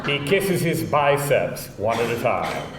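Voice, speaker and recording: Animated male narrator in an echoey room. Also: medium pitch, unhurried, very noisy. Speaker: narrator reading stage directions. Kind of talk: scripted narration at a live table read. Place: indoor room before a live audience. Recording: echoey room, distant mic.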